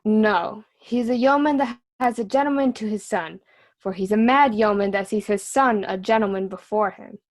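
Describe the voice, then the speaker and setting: A woman's voice: medium pitch, moderate pace, close to an everyday voice. A woman playing a cheeky, riddling court fool in a Shakespeare reading. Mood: teasing, wry. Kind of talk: remote reader's theatre Shakespeare.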